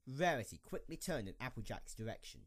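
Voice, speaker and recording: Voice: mid-range voice, very animated, brisk, slightly rough, no room sound. A narrator reading scripted storybook-style narration for an amateur audio drama.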